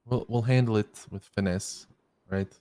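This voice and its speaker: A young man in his twenties with a low voice speaks calmly and reassuringly, with small hesitations. The sound is dry and close. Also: measured pace, no echo. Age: 20s. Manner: calm, reassuring, a bit hesitant. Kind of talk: in-character roleplay chat.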